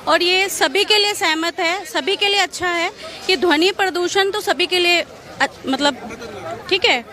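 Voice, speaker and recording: A woman's voice: very high voice, a little slow, pushed and bright. A woman answering agreeably and a little hesitantly in an impromptu interview. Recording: outdoors with crowd and traffic.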